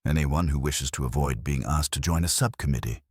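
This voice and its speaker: A man's voice: rumbling low, a little fast, a little mellow, husky, no room sound. A man voicing a weary, grumbling older male caretaker in an audio story, dry, clipped and grudging. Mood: dry, sardonic.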